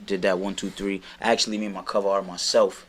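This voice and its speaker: A man's voice: low voice, level tone, fast talker, fairly bright tone. A young man from Brooklyn, a rapper guest talking through his process in a casual, laid-back, rambling way. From Brooklyn, New York. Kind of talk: casual sit-down chat.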